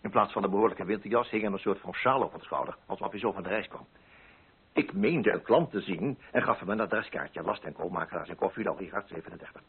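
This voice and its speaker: A man's voice: low-pitched, fast talker, husky, exaggerated voice. A man playing a pompous, fussy, middle-aged coffee broker with a Netherlands Dutch accent, in a dramatized radio monologue. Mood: self-important, narrating. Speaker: narrating lead character. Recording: muffled, band-limited radio drama recording.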